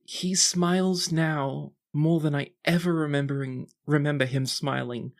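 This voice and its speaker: A man's voice: fairly high pitch, fairly even intonation, unhurried, vocal fry, pitched above the performer's own voice. A man voicing a tipsy teenage noble girl in a tabletop game, effusive, tender and formally polite. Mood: touched, happy.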